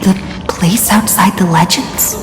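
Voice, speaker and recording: A woman's voice: mid-range voice, steady tone, a little slow, lightly acted. Echoey, puzzled heroine played by a woman. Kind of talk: scripted video game dialogue. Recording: echoey hall reverb, music behind.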